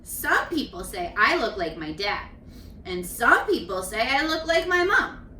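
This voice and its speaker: Echoey, bright-voiced woman reading to children. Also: fairly high pitch, a little slow, a little hiss or hum. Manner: expressive, instructive, addressing children directly. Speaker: teacher reading a picture book aloud. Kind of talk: read-aloud for young children with questions to the listeners. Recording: echoey room, distant microphone.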